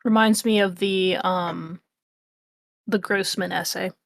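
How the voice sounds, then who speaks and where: A woman's voice: mid-range voice, steady tone, takes it slowly, vocal fry, no room sound, clean studio sound. A woman chatting casually as a stream co-host, choosing her words thoughtfully and with some hesitation. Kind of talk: casual chat.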